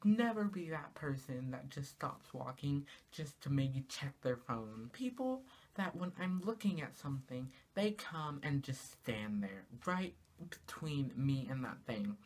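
A teenage girl vlogging from her small bedroom, talking casually to the camera and ranting in an exasperated way, her pitch jumping up on rhetorical questions. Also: big pitch swings, measured pace, a little mellow. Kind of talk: casual comedic rant to camera. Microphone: camera in front of her, at arm's length.

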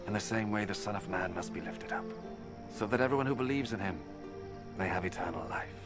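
An actor playing an earnest, authoritative holy teacher in a biblical film drama. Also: male voice, fairly low pitch, brisk, loud music behind the voice, close to an everyday voice. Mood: fervent. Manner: earnest, declarative.

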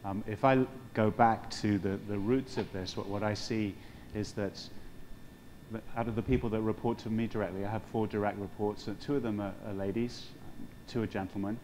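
A middle-aged man, a hotel general manager, speaking in a measured, matter-of-fact way on a conference panel stage with a lapel mic. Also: on the low side, steady tone, darkish tone, slight background noise, rough recording. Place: large conference hall stage.

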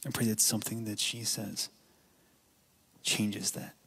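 A man on a church stage praying slowly and deliberately into a stand mic before the sermon. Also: fairly low pitch, flat delivery, gravelly, fairly bright tone, husky. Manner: slow, deliberate prayer. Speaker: worship leader praying. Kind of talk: spoken prayer. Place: church stage behind keyboard.

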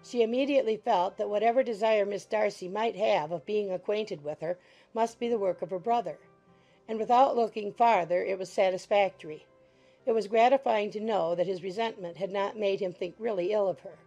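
A woman reads a classic novel aloud in a mellow, darker voice, with even and composed pacing. Gentle music plays faintly beneath the narration. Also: medium pitch, rough recording. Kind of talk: scripted audiobook narration.

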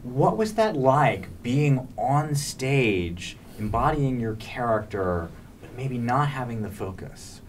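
A man's voice: medium pitch, big pitch swings, slow pace. A man interviewing guests in a conversational style. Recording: slightly distant mic, faint room noise.

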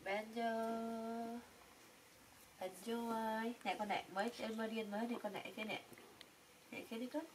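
A woman's voice: on the high side, steady tone, moderate pace, long pauses. A young woman talking casually to pet animals in a coaxing way, repeating short words. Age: young adult. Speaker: pet monkey caretaker. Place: small indoor room, on a bed. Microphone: camera mic a little way off. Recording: distant mic with clear background noise.

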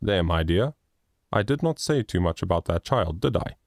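A cheery, teasing father with a deep, jovial voice, as a man reading a storybook puts him on. He jokes lightly in a lively tone that swings up and down, close and dry. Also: normal speed, no room sound.

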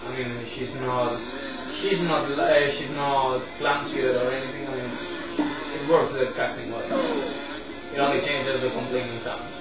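Hoarse man rambling over loud game music. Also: mid-range voice, sing-song, unhurried. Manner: rambling, many restarts, swears at mistakes. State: losing his voice, coughing. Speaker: gameplay commentator. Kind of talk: casual let's-play commentary. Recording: roomy echo, distant mic, loud game music behind.

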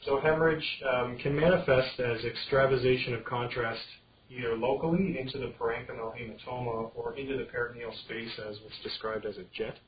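A man giving an informal medical slide lecture, explaining things in a halting way. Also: mid-range voice, steady tone. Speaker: radiology lecturer. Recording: dull screen-capture audio with clear background noise.

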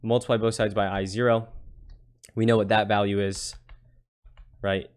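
A man with a fairly low voice works through a problem as a tutor. He explains casually, one step after another, and regularly tags his points with a quick check for understanding. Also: little pitch movement, clean tone. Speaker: physics tutor.

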